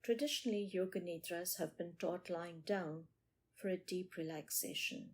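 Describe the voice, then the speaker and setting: A woman meditation teacher leading a guided meditation in short, measured phrases with pauses between them. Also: lowish voice, slightly rough.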